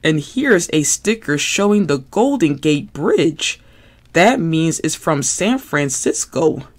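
A storybook reader voicing a cheerful, giggly puppet boy who talks excitably. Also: medium pitch, slow. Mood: eager. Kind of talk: storybook read-aloud.

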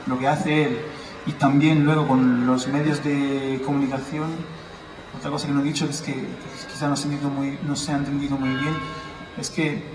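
A man from Italy giving an informal public talk outdoors, explaining ideas and posing rhetorical questions. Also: medium pitch. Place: outdoors at a building entrance on a city square. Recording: roomy, distant, noisy, church bells.

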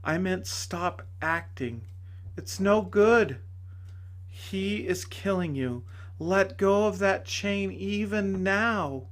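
A man reading aloud voices a kind, joyful woman who pleads softly and earnestly. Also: high-pitched, lively intonation, slow, pitched above the performer's own voice, full character voice. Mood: urgent pleading. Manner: soft, earnest, urgent pleading. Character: gentle, joyful heavenly lady, pleading.

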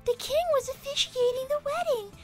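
Little cartoon rabbit girl, tiny very high voice, polite, earnest, tender-hearted, music behind. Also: fairly quick. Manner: earnest, very polite, formal forms of address. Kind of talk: improvised character roleplay on a livestream.

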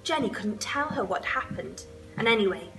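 A woman's voice: medium pitch, lively intonation, normal speed, gravelly, music in the background, rough recording. A young woman storyteller reading a children's picture book expressively. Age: young adult. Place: indoors, room with wood-panelled wall and sofa.

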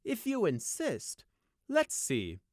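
A man's voice: high-pitched, wildly swooping pitch, slow, a little mellow, dry recording, studio quality, voice pushed up, full character voice. A man voicing a wealthy, boastful male unicorn noble from a story, with an airy, self-satisfied way of drawing out his words.

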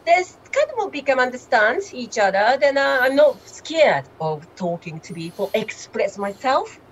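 A woman with a strong Japanese accent talks over a webcam from a room at home. Her English is halting and she uses non-native grammar, yet she speaks in an animated way. A faint hum of ambience sits behind her voice. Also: on the high side. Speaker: guest. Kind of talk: casual chat on a video call. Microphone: webcam on a video call.

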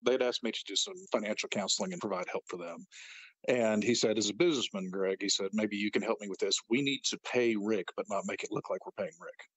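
A man with a very low, husky voice, telling his side of events as a guest. He speaks matter-of-factly, with an indignant edge. The recording is dry and close. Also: a little fast, fairly bright tone, no room sound, poor audio. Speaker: interview guest. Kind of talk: recounting events in an interview.

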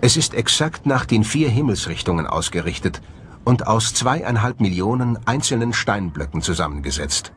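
A man reads scripted documentary narration in a measured, solemn way. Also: fairly low pitch, expressive, a bit bright, a little hiss or hum. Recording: music bed under the voice. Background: music.

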